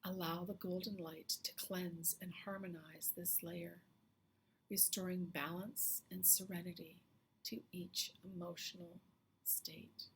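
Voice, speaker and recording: Female meditation guide: slightly low register, gentle half-whispered phrase endings, unhurried and calming, faint ambient noise underneath. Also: low-quality recording. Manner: soft, hushed, often breathy phrase endings; slow, soothing, with long pauses.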